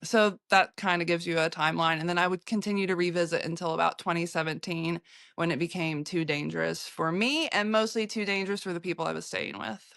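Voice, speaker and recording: An American woman, a photographer, recounting her travels in an interview, halting often with fillers and restarts. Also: fairly low pitch, fairly even intonation, creaky, fairly bright tone, no echo, studio quality. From United States.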